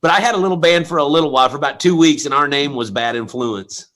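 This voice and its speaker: A middle-aged man hosting a comedy livestream, chatting in a rambling, excitable way with lots of stammered restarts. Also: a little high, fast talker. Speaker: comedy show host and tequila promoter. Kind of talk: casual banter on a livestream.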